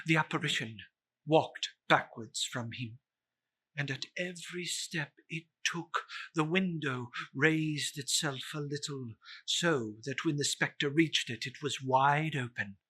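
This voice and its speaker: A man reads a classic tale as a dramatic narrator. His delivery is slow and theatrical, with a bright, forceful edge and measured phrasing. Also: on the high side, husky.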